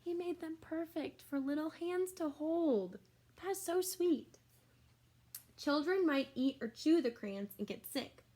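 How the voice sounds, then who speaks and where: A woman's voice: high-pitched, lively intonation, takes it slowly, dark tone. A woman reading a children's book aloud like a teacher, expressively, with exclamations and simple explanatory asides for young listeners.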